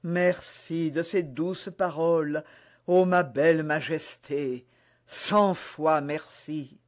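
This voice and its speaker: A man's voice: on the high side, fairly even intonation, slow. A man reading the part of a passionate, melancholy young duke in love, ardent and pleading, in a historical romance audiobook. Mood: exultant, loving.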